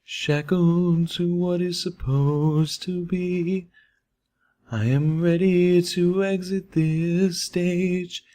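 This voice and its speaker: A man sings as a female pony in a fantasy storybook. The voice is raised high, with long, slow, theatrical phrases full of yearning. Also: level tone, warm tone. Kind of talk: slow unaccompanied singing.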